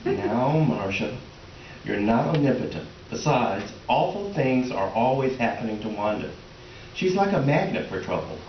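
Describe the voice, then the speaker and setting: A man's voice: mid-range voice, sing-song, normal speed, close to an everyday voice. A man playing a sheepish middle-aged husband in a comic readers theater piece, hesitant, flustered and placating. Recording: roomy, distant, dull, faintly noisy stage recording.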